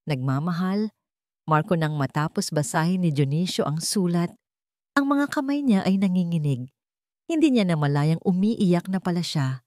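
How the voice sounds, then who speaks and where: A woman narrating a scripted sentimental drama in measured, short phrases. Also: fairly low pitch, very animated, dark tone, no room sound, studio quality.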